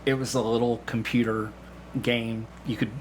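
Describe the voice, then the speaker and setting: A man's voice: mid-range voice, level tone, normal speed, slight background noise, rough recording. A middle-aged man talking casually as an interview guest, telling things in an anecdotal way. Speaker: podcast guest, amateur stage actor.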